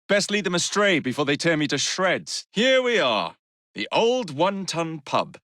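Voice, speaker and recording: A man's voice: a little high, sing-song, moderate pace, bright, forceful tone, dry recording, studio quality. A man playing a cocky young Victorian English assassin in a video game, with a wry, cheeky and theatrical English delivery.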